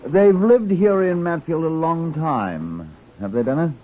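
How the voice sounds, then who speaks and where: An actor with a British accent playing a brisk detective superintendent, terse, inquisitive and in command, in an old radio drama. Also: male voice, a little high, animated, phone-quality sound. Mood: probing. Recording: thin, band-limited old radio recording.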